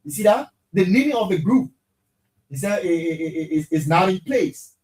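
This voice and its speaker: A man's voice: high-pitched, moderate pace, low-quality recording. Male co-host, conversational, stacks up repeated words for emphasis. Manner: animated, repeats words when he gets emphatic. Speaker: co-host of a night talk show. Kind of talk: casual studio chat and reading aloud.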